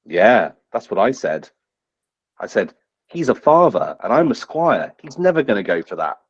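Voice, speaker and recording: A man's voice: fairly low pitch, big pitch swings, moderate pace, husky, no echo. A man voicing a cheeky, bumbling young squire in a tabletop game, hesitant and rambling, on a slightly dull close microphone. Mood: cocky, officious.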